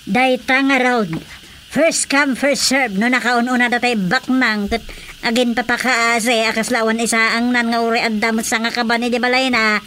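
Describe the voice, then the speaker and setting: A woman's voice: on the high side, level tone, moderate pace, sharp tone, a little hiss or hum. An actress playing a chatty, wistful older mother with a Filipino accent in a radio drama comedy. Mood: concerned.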